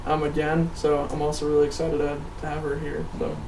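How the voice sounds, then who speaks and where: A young American man giving a casual, earnest and somewhat halting introduction to a group as an actor. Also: fairly high pitch, completely flat delivery. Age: young adult. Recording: noisy, distant microphone.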